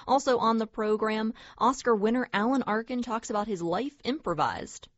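A woman reading radio station promos and the weather in a smooth, even way. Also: on the high side, normal speed, no echo, dull audio. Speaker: station announcer. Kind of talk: scripted radio announcements and weather.

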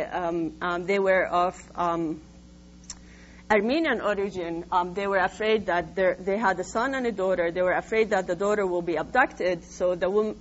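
Woman lecturer, muffled hummy recording. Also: fairly low pitch. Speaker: researcher presenting her findings. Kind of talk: academic lecture, semi-scripted. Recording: dull lo-fi sound with faint hum.

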